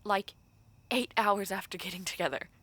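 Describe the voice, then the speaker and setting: A woman's voice: medium pitch, a bit bright, dry recording, close to an everyday voice. A young woman playing a gossipy, teasing university dormmate and close friend, chatting casually to the listener in an audio roleplay. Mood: gossipy, exasperated. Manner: conversational, gossipy, pausing with filler words.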